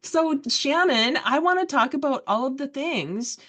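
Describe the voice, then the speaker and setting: A woman's voice: high voice, lively intonation, measured pace, pushed and bright. A woman in her sixties hosting a podcast interview, upbeat and welcoming. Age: 60s. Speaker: podcast host.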